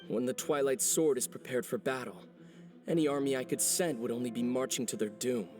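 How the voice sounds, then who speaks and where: A man voicing a composed, calculating villain in a video game, formal and deliberate. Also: mid-range voice, darkish tone, faint music behind, close to an everyday voice. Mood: grave.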